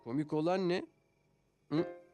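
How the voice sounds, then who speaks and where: A man playing a patient, easygoing father in a Turkish cartoon dub, explaining things gently and thoughtfully. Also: fairly high pitch, unhurried, lo-fi sound, close to an everyday voice. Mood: gently amused. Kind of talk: Turkish animated series dub. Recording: music behind.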